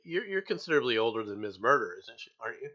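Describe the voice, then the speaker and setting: A man's voice: medium pitch, very animated, very fast, bright, forceful tone. A man in his sixties chatting and joking at his own age in a group voice chat. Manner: self-mocking, chuckling. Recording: dull lo-fi mic.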